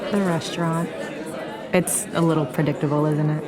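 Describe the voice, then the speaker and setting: A woman's voice: low voice, little pitch movement, moderate pace, a crowd in the background, close to an everyday voice. A woman playing a young Mexican-American restaurant worker, playful, self-effacing and smitten, in a romantic audio drama. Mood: earnest, modest.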